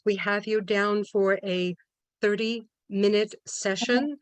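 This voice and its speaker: Woman, online meeting host, slow and formal delivery, dry video-call sound. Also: mid-range voice, steady tone, no room sound. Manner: measured, formal. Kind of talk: introducing a speaker.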